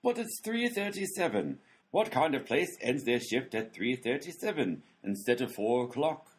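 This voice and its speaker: A grumbling, booming office boss, played by a man in a radio comedy. His pitch swings widely as he shouts across the room. He sounds set back from the microphone. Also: sing-song, moderate pace, close to an everyday voice. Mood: indignant. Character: grumbling male package office boss, loud and baffled. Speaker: clerk at a package office. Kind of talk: comic radio play acting.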